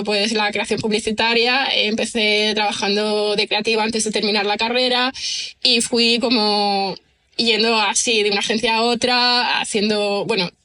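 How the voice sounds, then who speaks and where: A woman's voice: mid-range voice, bright, forceful tone. A woman, a writer chatting as an interview guest, rambling with many fillers. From Almería, Spain. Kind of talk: casual interview chat.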